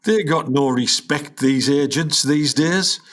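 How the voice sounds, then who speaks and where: A man's voice: on the high side, slow pace, fairly bright tone. A man playing a washed-up older jazz musician and father, grumbling and indignant in a Northern English accent, in a comic radio play. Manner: griping, indignant, rambling.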